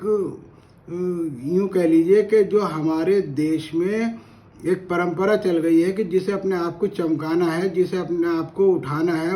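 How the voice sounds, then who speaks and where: A man's voice: sits high, dark tone. A man from India, giving spoken opinion commentary in an earnest, argumentative way and repeating phrases for emphasis. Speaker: commentator on current affairs.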